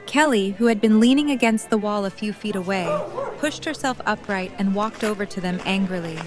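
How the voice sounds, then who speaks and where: A woman reads scripted narration for an audio drama. Her voice sits at a middle pitch, with faint music underneath. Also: fairly quick.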